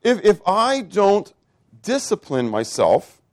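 A man's voice: sits high, huge pitch swings, slow pace, no room sound, studio quality. Man in his fifties preaching a sermon. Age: 50s. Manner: rhetorical questions to the congregation, swings loud and soft.

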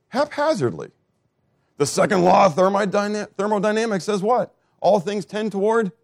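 A man in his fifties preaching a sermon, asking the congregation questions and swinging between loud, emphatic lines and soft asides. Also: mid-range voice, no echo, studio quality. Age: 50s.

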